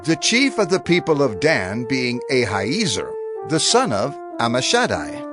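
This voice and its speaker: A man's voice: mid-range voice, huge pitch swings, a little slow. A man reading scripture from a script in a formal, proclaiming manner. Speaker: narrator. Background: faint music.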